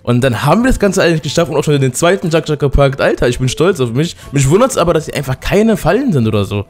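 Hoarse, excitable German-speaking man gamer. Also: a little high, fast talker, soft background music. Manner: excitable, loud outbursts, keeps addressing viewers. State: hoarse from a cold. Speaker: gaming YouTuber. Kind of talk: excited let's-play commentary.

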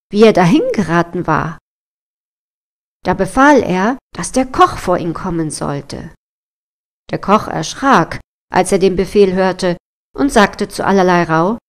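A woman storyteller reading a scripted fairy tale narration. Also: medium pitch. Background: music.

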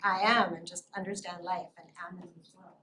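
A middle-aged woman giving an academic talk in a seminar room, reflective and quick to laugh softly. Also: fairly low pitch, steady tone, slight background noise. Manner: reflective, with frequent soft laughs. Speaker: lecturer.

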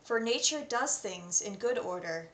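A woman in her twenties with a North American accent speaks at a middling pitch as an online tutor. She is in a small, echoey room at home, and faint noise sits behind her voice. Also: expressive, a little slow, a bit bright. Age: 20s. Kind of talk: self-introduction to camera with poem readings. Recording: roomy echo, faint background noise.

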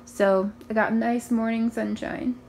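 A young woman with a raspy morning voice, chatting casually through a tour of her small bedroom, filmed on a handheld phone. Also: a little high, flat delivery, unhurried, darkish tone, a little hiss or hum, rough recording. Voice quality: raspy from just waking up. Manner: chatty, upbeat, many ums and likes. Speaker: vlogger. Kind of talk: casual room tour vlog. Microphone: handheld phone camera.

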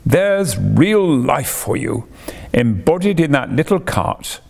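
A man voicing a boastful, excitable male toad who pleads and shows off in a storybook reading. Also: fairly high pitch, takes it slowly, creaky, voice pushed up, full character voice. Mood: exuberant. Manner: exuberant, theatrical, pleading.

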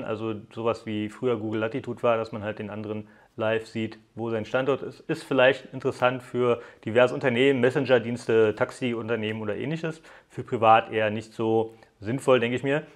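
A young man giving a casual, conversational hands-on review to viewers, explaining things informally as he goes. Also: medium pitch. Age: young adult. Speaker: tech app reviewer. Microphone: lapel mic.

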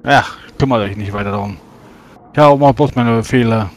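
A curt official who only follows orders, acted by a man at a game table. The voice is mid-pitched, the manner dismissive. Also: fairly quick, close to an everyday voice.

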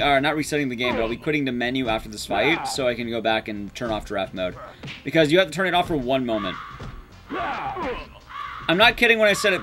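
A man's voice: fairly high pitch, pushed and bright. A young man giving casual live game commentary as a speedrun streamer, self-deprecating and swearing casually. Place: small indoor streaming room. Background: game music.

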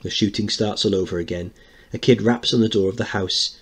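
A man's voice: lowish voice, steady tone, brisk, slightly rough. A man teaching through narration and commentary on a play, putting rhetorical questions to his listeners. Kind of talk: dramatic reading with explanatory commentary.

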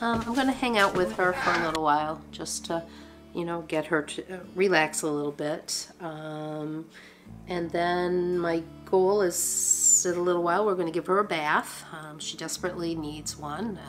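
Soft-spoken middle-aged woman, low voice. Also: soft background music. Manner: soft-spoken, halting with fillers. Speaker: dog rescuer. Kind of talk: explaining the plan to the camera.

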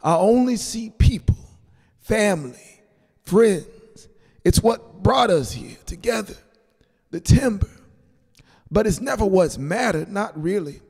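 A man voicing an elderly grandfather in a storybook, sentimental and grateful, speaking tenderly and haltingly with a creaky voice. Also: high voice, lively intonation, voice pushed up, full character voice. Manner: halting, tender, soft-spoken. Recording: long hall reverb on the voice.

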